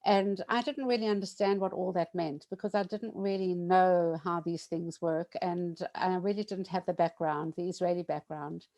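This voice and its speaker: A woman in her sixties telling a personal memorial story, hesitant and reflective, with frequent pauses and restarts. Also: fairly low pitch, steady tone, a little mellow. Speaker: bereaved widow sharing memorial story.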